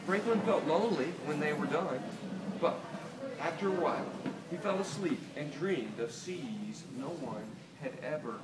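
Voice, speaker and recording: Expressive American man reading aloud, noisy room. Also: fairly high pitch. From Delaware, United States. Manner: expressive, with deliberate pauses and questions to listeners. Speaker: children's author. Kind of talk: reading a picture book aloud to children, asking them questions. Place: small toy shop, indoors. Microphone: camera a few meters away. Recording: roomy, distant camera pickup, loud room noise.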